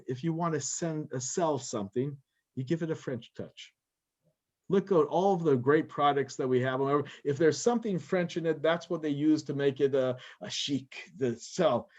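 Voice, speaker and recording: A man's voice: on the high side, darkish tone, lo-fi sound. A middle-aged American man, a rabbi, chatting through a talk over a video call and chuckling as he goes. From United States. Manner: chatty, chuckles often between thoughts. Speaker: rabbi and guest speaker. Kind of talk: relaxed lecture Q&A.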